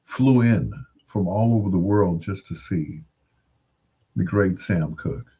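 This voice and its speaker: A man's voice: low voice, slow, warm tone, breathy edge. An American man hosting in a casual, rambling monologue, musing aloud and posing rhetorical questions. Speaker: radio-style host.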